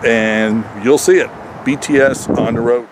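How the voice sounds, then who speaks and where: A man's voice: medium pitch, steady tone, measured pace, over loud music. A man talking casually and chattily to viewers as host of his own comedy road vlog. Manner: chatty, addresses viewers as folks. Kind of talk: casual vlog talk to camera. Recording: noisy outdoor and vehicle background.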